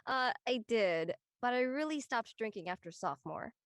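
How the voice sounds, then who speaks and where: A woman's voice: on the high side, animated, moderate pace, no room sound, clean studio sound. A woman playing an easygoing adult aunt in a naturalistic live script reading. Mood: hesitant, reflective. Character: easygoing adult aunt recalling her college years. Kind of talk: naturalistic live table-read acting.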